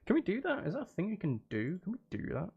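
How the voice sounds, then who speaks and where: A man's voice: high-pitched, wildly swooping pitch, fast talker, creaky, breathy edge, dull audio. A man livestreaming casually, rambling and thinking aloud as he chats with viewers. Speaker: livestreamer making music live. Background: music and synth sounds.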